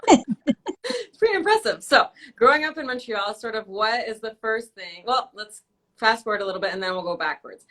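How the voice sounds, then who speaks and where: A young woman hosts a casual live-stream interview with a bright, ringing voice. She teases her guest playfully and keeps breaking into laughter. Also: fairly high pitch, lively intonation, moderate pace. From Canada. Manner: teasing, laughs often. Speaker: host.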